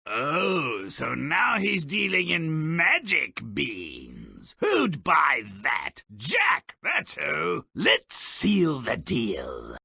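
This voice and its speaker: A man voicing a grumpy, gleefully malicious little imp who tells fairy tales in a video game, gravelly, raspy, theatrical and mocking. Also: fairly high pitch, huge pitch swings, extremely slow, hardly any pauses, bright, forceful tone, no echo, clean studio sound, full character voice. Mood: sardonic, mischievous. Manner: mocking, theatrical, relishing misfortune. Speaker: storyteller character of a fairy tale game. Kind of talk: theatrical storybook narration with game quips.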